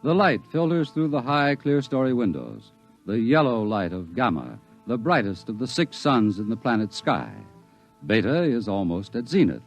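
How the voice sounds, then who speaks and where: A man narrating a 1950s radio drama in a dramatic, measured way. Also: mid-range voice, very animated. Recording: dull old radio recording with music.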